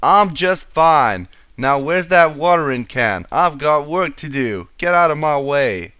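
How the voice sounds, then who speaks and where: A man's voice: fairly high pitch, expressive, measured pace, bright, forceful tone, smooth, dry recording. A man voicing a hot-tempered young female farm pony with a brusque, folksy in a storybook reading. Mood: angry, snapping.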